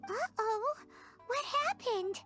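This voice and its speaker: Very high squeaky little fairy, slow-paced. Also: female voice, very animated, fairly bright tone, faint music behind, full character voice. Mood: alarmed. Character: tiny squeaky childlike fairy companion, excitable and curious. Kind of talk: video game voice acting.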